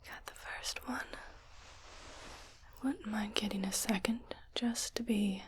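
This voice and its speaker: A woman playing a calm, clinical doctor in an ASMR roleplay on an alien planet, whispering slowly in a breathy, measured way. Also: mid-range voice, a little hiss or hum, natural voice. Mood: curious, focused. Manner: slow, measured, softly clinical.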